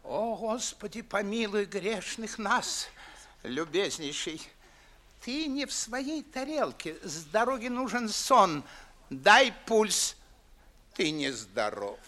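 An actor playing a fussy, quarrelsome older Moscow gentleman in a 1950s radio verse comedy, theatrical and pompous. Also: sits high, very animated, takes it slowly, exaggerated voice. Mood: anxious, patronizing.

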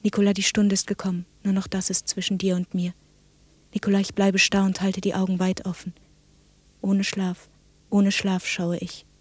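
An actress playing a sleepless, obsessed woman in a literary radio drama, speaking in a breathy, husky half-whisper with solemn, insistent phrasing. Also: medium pitch, completely flat delivery, normal speed, natural voice. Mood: dark, trance-like.

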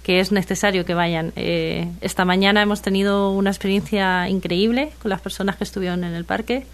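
Spanish woman storyteller, deliberate, pauses to find words. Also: mid-range voice, steady tone, slight background noise. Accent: Spanish from Spain. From Spain. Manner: measured, hesitant, searching for words. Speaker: storyteller guest.

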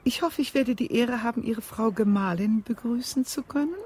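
An actress playing a genteel widow at a seaside spa, coy and affectedly polite in a radio play. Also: female voice, on the high side, normal speed, darkish tone, slight background noise, close to an everyday voice. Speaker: actor in a radio drama.

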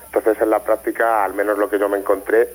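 A man's voice: on the low side, little pitch movement, fairly quick. A man with an accent from Spain, giving explanatory testimony as an interview guest over a telephone call. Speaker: interview guest, former youth care educator.

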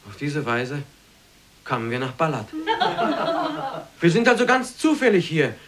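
Radio play: bewildered male hotel guest, courteous minor official, hesitant, lively intonation. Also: fairly high pitch, slow pace, slight background noise, full character voice. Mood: bewildered, modest. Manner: courteous, hesitant, self-effacing. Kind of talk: German radio play acting.

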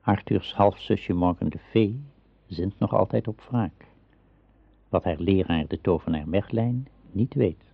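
A man with a gravelly, husky voice giving scripted narration in a radio drama. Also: low voice, unhurried, full character voice. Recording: thin, phone-like old radio play recording.

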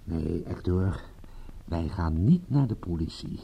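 A man's voice: deep voice, lively intonation, slow, creaky, crowd noise, exaggerated voice. An actor playing a tough, impatient and shrewd male private detective in a radio drama, commanding and full of pointed questions. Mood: grim, subdued. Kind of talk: radio drama acting in Dutch.

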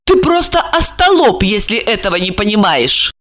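A woman's voice: mid-range voice, very animated, moderate pace, a bit bright, voice pushed up. A woman voicing a young, jealous mistress in an audiobook, theatrically emotional, mocking and pleading by turns. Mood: wheedling, bitter. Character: young scornful, jealous, pleading mistress, spiteful and hot-tempered.

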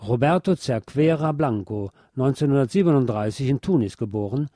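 A man reading a scripted documentary narration in a measured, articulate way. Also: medium pitch, expressive, mellow, no echo, clean studio sound.

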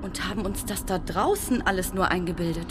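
A woman acting a stubborn, sharp-tongued character in a radio drama, insistent and sarcastic. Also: fairly low pitch, brisk, background noise, close to an everyday voice. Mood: argumentative, sarcastic. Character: stubborn, contrary, sharp-tongued woman who argues logically.

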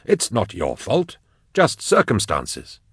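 A man's voice: on the low side, slow pace, husky, dry recording, exaggerated voice. Audiobook role: carousing, cheerful man, done by a male narrator, exclamatory and cocky, close dry recording. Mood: breezy, coaxing. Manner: exclaiming, breezy, lively. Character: jovial carousing male comrade, breezy and boastful. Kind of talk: audiobook character acting.

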